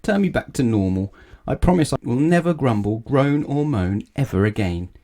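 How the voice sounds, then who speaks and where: A man's voice: mid-range voice, lively intonation, moderate pace, mellow, voice pushed up, close to an everyday voice. A man voicing a grumpy, complaining storybook character who grumbles and moans. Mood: pleading, mournful. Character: grumpy, moaning man-like storybook grump, later pleading pig.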